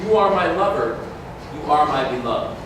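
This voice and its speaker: A man's voice: fairly high pitch, animated, normal speed, natural voice. A man playing a grand ceremonial officiant at a mystical wedding, proclaiming incantatory verse in a staged reading. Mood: solemn. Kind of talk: staged reading of a poetic masque. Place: community hall, live staged reading. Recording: very echoey hall from far off, noisy.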